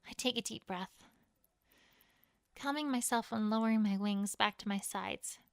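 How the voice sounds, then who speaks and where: A woman's voice: mid-range voice, moderate pace, vocal fry, no echo, studio quality. A woman reading a first-person fantasy story as a dramatic narration. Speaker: fan fiction reader.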